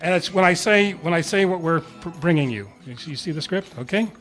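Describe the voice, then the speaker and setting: A man announces and narrates an amateur radio play in old-time style. His voice is fairly high for a man, with some music in the background. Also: lively intonation, brisk, fairly bright tone. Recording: live club performance with music behind.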